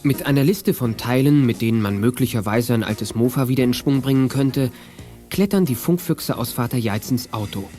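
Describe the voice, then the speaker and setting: Guitar music plays clearly behind an actor as a male storyteller with a mid-pitched voice. He narrates a children's detective adventure in the scripted style of a 1980s audio play. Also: moderate pace, lightly acted. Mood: measured narration.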